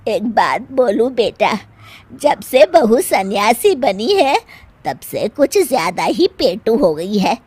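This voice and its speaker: A woman plays an elderly mother-in-law from a cartoon moral tale, scolding and sly. Her high voice drags out each complaint slowly and theatrically. The sound is close and dry. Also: sing-song, darkish tone, slightly rough, dry recording, exaggerated voice. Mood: weary, complaining. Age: older. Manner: theatrical, nagging, drawn-out delivery. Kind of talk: Hindi animated moral story voice acting.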